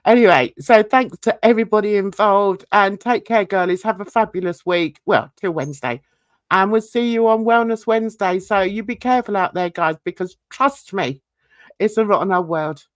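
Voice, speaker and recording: A woman's voice: medium pitch, moderate pace, dry recording. A woman hosting a casual livestream, rambling with many fillers, a bit under the weather with a cold. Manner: chatty, rambling, frequent fillers. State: coughing and sneezing. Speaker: livestream host.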